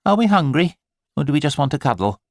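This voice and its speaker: A man voicing a kindly, brisk maternity nurse in an audiobook, cooing and soothing. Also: on the high side, sing-song, dry recording, clean studio sound, pitched above the performer's own voice. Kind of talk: audiobook character dialogue.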